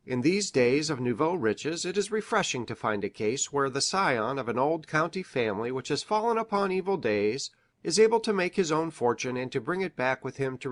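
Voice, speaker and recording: Guy acting earnest country doctor in old mystery drama, grave n measured, reads out documents, mid pitch, close dry sound. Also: fairly bright tone, dry recording, close to an everyday voice. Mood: formal, solemn. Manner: formal, measured, grave storytelling. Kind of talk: dramatised classic mystery, reading a document aloud.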